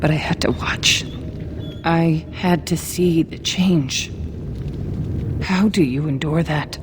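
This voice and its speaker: A woman's voice: deep voice, slow, background noise. An actress voicing a haunted, half-mad dwarf woman in a fantasy video game, chanting eerily and breaking into anguished whispers. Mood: haunted, despairing. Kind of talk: dramatic fantasy game acting.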